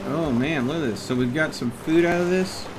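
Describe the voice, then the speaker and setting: A man's voice: on the high side, normal speed, poor audio. A man giving casual live gameplay commentary, thinking aloud as he plays. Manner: thinks aloud, talking to himself and the game. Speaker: game streamer. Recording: game music behind the voice. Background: game music.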